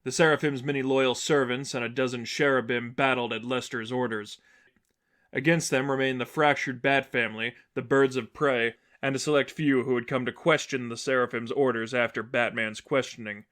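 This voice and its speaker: Casting: male narrator for fan fiction, medium pitch, scripted storytelling delivery. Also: a bit bright.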